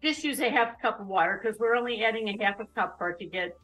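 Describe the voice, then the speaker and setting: A woman's voice: mid-range voice, fast, fairly bright tone, faint music behind, rough recording. A woman in her fifties hosting a live cooking show from her home kitchen, chatting casually and explaining each step as she goes.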